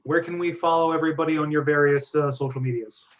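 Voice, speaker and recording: A man's voice: a little high, fairly even intonation, quick pace. A man hosting a casual group discussion over an online call. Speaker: host. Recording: dull lo-fi call audio.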